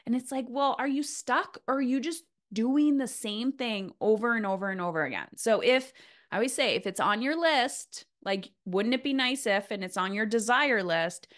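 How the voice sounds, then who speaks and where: A woman's voice: on the high side, expressive, normal speed, fairly bright tone. A woman hosting a casual interview in a motivational coaching style, emphatic and repeating phrases for weight. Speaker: podcast host, coach.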